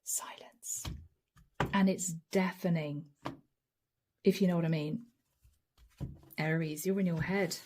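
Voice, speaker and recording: A middle-aged woman giving a channeled tarot reading. She speaks softly and intimately, often dropping to a whisper, and her voice is a little hoarse from a long session. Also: on the low side, fairly even intonation, takes it slowly, long pauses, slight background noise. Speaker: tarot reader.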